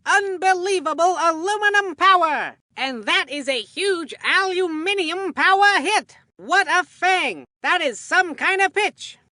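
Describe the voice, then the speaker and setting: An excitable male cartoon baseball commentator with a high-pitched, forceful voice. The delivery is folksy and hammy, full of showman's polish for a video game, and the sound is dry and close. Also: no room sound, exaggerated voice. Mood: excited. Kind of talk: scripted video game sports commentary with puns.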